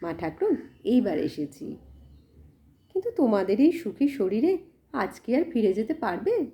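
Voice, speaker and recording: Woman voicing a humble village cowherd, animated intonation. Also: a little high, measured pace, pitched above the performer's own voice. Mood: earnest. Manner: simple, deferential, eager to help. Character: humble, loyal village cowherd man, simple and obliging.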